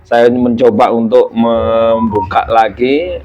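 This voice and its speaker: A man in his thirties, a children's storyteller, chatting as a guest and telling his story warmly, full of fillers and addressing listeners as friends. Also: mid-range voice, steady tone, takes it slowly, muffled recording, faint music behind. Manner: speaks to the audience as "friends". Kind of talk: casual interview chat.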